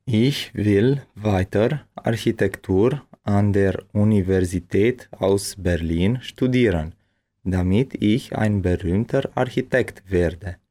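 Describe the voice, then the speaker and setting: A man reading his part of a bilingual language-lesson dialogue with careful, deliberate enunciation. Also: on the low side, warm tone.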